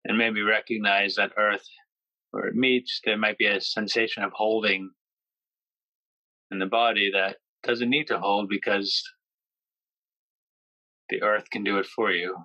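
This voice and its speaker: A man with a clear-cut, bright tone guides a body-relaxation meditation. He speaks gently in short phrases with long pauses, keeping his pitch very flat. The recording is dry and slightly dull, with little sense of a room. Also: dry recording. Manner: slow, gentle, pausing between phrases. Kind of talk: guided yoga nidra meditation.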